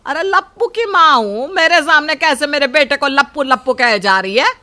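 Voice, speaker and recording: महिला की आवाज़ में तीखी, ताने मारती सास. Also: female voice, high-pitched, animated, moderate pace, bright, forceful tone, faint music behind. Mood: bossy. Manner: nagging, bossy. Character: scolding, greedy mother-in-law with a sharp tongue. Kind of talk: animated moral story.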